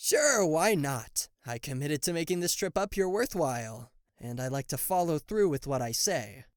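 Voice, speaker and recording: A man playing a cocky, playful and easily flustered king of hell as an affectionate boyfriend in an ASMR roleplay, with theatrical teasing pauses and stammers. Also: a little high, lively intonation, no room sound, clean studio sound, lightly acted. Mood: gentle, attentive. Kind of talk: ASMR roleplay addressed to the listener.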